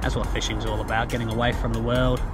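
A man's voice: mid-range voice, flat delivery, quick pace, loud music behind the voice. A man in his thirties with a New Zealand accent, talking casually and enthusiastically to an action camera while fishing from a kayak on a lake. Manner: laid-back, slangy and enthusiastic. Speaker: fishing vlogger. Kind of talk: casual outdoor vlog. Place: outdoors on a lake in a small kayak. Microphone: action camera mounted on the kayak.

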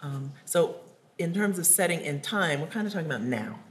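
A woman with a low voice leads a mock interview, asking probing, encouraging questions. She sounds like a trainer in a workshop classroom, picked up on a table mic with a faint hum behind her. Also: animated, a little fast. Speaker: writing trainer. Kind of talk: coaching a student in a mock interview.

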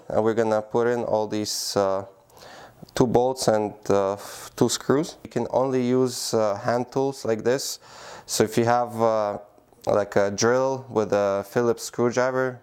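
A man giving a plain, practical how-to demonstration, pausing often with small fillers. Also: mid-range voice, darkish tone, smooth. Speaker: product demonstrator. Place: indoor room by a door.

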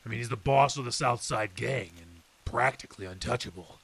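A gravelly veteran detective. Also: male voice, medium pitch, a little fast, fairly bright tone. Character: hardened veteran male city detective. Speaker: Detective Henry Reeves. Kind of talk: old time radio drama acting. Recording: hissy background noise.

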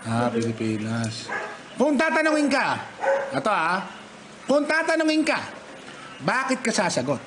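A man's voice: very high-pitched, very animated, normal speed, pauses often. A young Filipino-accented man streaming playful, teasing casual chat with strangers. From Philippines. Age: young adult. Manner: teasing, jokey. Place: indoor room with friends behind him. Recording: noisy room, streamed video call audio.

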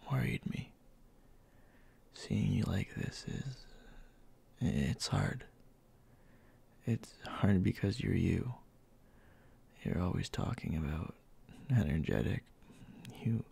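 A man acts out a young boyfriend in an intimate ASMR roleplay, scolding and tender at once. His low voice is very husky and breathy, often slipping into a whisper. He talks slowly and haltingly, like someone bossy who cares a great deal. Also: warm tone, exaggerated voice. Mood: worried, soothing. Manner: halting, murmured, scolding yet caring. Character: gruff but tender, bossy young boyfriend nursing sick partner.

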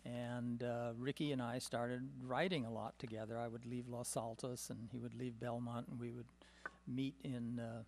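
An older man with a gravelly voice talks into a desk microphone in a meeting room. He tells long, rambling stories from his past, full of "uh" and "um" fillers and small chuckles. His voice sits at a middling pitch for a man. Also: little pitch movement, normal speed, darkish tone. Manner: storytelling with many "uh" and "um" fillers, chuckling. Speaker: symposium panelist. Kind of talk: rambling personal reminiscence. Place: meeting room, seated at a table. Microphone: desk microphone on a stand.

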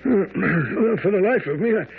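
A man's voice: high voice, animated, normal speed, creaky, breathy edge, full character voice. An actor playing a detective's eager, bumbling companion in a radio drama, hesitant and blustering as he questions things. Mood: incredulous, blustering. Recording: tinny old radio broadcast.

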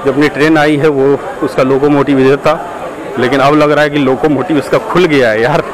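A man's voice: fairly high pitch, fairly even intonation, fairly quick, crowd noise. A young man with a slightly raised voice chats loosely and directly to his viewers, full of fillers. He films himself on an action camera at arm's length on an open railway platform, and heavy train and station noise presses in behind him. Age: young adult. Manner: chatty, talks straight to viewers, many fillers. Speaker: travel vlogger. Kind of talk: casual vlog chat to camera.

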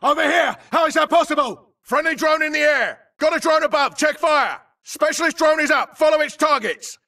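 An actor playing a tough, aggressive infantry grunt in a video game, barking clipped, urgent military callouts. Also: male voice, extremely high pitch, normal speed, recorded from a distance, full character voice. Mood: urgent, warning. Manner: clipped, urgent, forceful delivery. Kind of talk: military combat callouts, short shouted barks.

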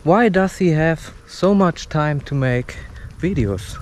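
A young man chatting casually in halting, simple phrases as he records a walking vlog. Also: fairly high pitch, lively intonation. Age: young adult. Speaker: walking vlogger. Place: outdoors, suburban street and park path. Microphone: action camera at arm's length, no windscreen. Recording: windy outdoor camera mic, street noise.

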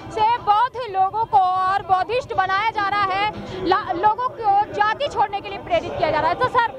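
A young woman reporting from a busy city street, her very high voice carrying over the noise. She asks brisk, prompting questions into a handheld mic while a loud crowd fills the background. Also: fairly even intonation, loud music behind the voice. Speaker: news reporter.

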